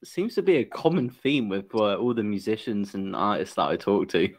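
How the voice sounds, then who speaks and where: A man's voice: fairly low pitch, animated, brisk. A man with an English accent hosting a podcast, chatting casually, joking and laughing often as he asks teasing questions. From England. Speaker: podcast host. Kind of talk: casual interview chat.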